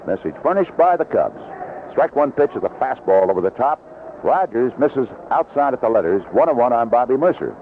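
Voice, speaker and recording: Animated American baseball announcer, noisy old radio. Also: male voice, medium pitch, brisk. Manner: clipped play calls in short fragments. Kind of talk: 1970s radio baseball commentary. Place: outdoor ballpark broadcast booth. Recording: dull, noisy vintage AM radio broadcast.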